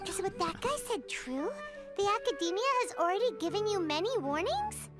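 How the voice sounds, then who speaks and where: A woman's voice: very high-pitched, big pitch swings, brisk. A woman voicing a small, childlike fairy companion in a video game, bubbly, blunt and exclamatory. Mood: earnest, plucky. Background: game music.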